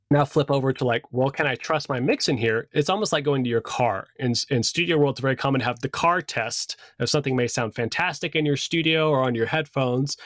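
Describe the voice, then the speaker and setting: A man's voice: medium pitch, a bit bright, dry recording. Man explaining casually, dry close sound. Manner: conversational, informal. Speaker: sound system design instructor. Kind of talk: casual explanatory lesson with slides.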